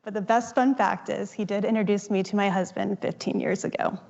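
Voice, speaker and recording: A woman co-founder giving an upbeat, emphatic startup pitch on stage. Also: steady tone, fairly quick, vocal fry, a little mellow, dull audio.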